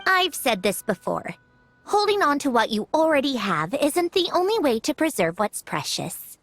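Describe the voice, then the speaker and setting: A woman's voice: high-pitched, very animated, moderate pace, fairly bright tone, dry recording, soft background music, exaggerated voice. A woman playing a proud, refined young lady inventor in an anime video game, speaking in a prim, self-assured and articulate way. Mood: prim, gently haughty.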